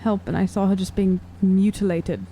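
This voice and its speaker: A woman's voice: medium pitch, steady tone, moderate pace, warm tone, poor audio. A woman playing a tabletop game, chatting casually in character in a hesitant way that repeats words. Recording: faint hum, slight background noise.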